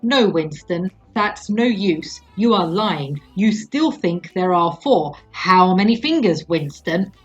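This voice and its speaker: A woman voicing a calm, controlling male interrogator in a story reading, deliberate and patronising as he questions his captive. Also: sits high, slightly rough, highs cut off, soft background music, exaggerated voice. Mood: cold rebuke.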